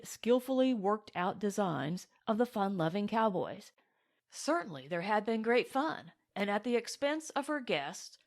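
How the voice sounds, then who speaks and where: A woman reading a novel aloud as an audiobook narrator, in a measured, careful way. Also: medium pitch.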